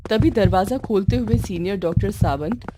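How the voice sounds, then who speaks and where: A woman's voice: medium pitch, normal speed. A woman narrating a scripted horror story. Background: loud music.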